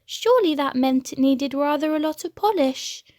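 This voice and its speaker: A woman reading a children's storybook aloud as an expressive narrator. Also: high voice, moderate pace, no echo, clean studio sound. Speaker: storybook reader.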